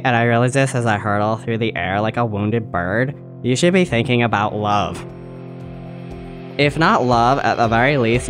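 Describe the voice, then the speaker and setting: Man, mid-range voice, book podcast panelist and reader, music audible behind him. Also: medium pitch. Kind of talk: casual chat and dramatic book reading.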